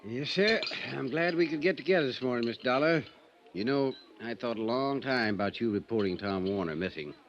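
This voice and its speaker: A man's voice: medium pitch, expressive, fairly quick, music in the background, close to an everyday voice. A man playing a plain-spoken, cautious, folksy small-town police constable in a 1950s radio drama. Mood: guarded. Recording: dull, noisy old radio recording.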